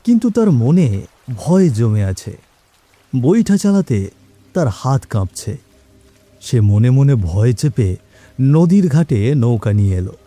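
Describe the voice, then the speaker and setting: Male storyteller for a scripted ghost tale, very dark, mellow tone, faint music and rain behind him. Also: mid-range voice, big pitch swings, slow, pauses often, dry recording. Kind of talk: scripted horror story narration.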